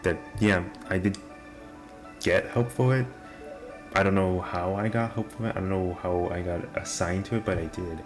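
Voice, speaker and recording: A young man with a deep voice and nonstandard R sounds, talking casually and self-deprecatingly to the camera. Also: moderate pace. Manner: rambling, self-deprecating, many restarts. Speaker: vlogger. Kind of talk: casual rambling monologue. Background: video game music playing.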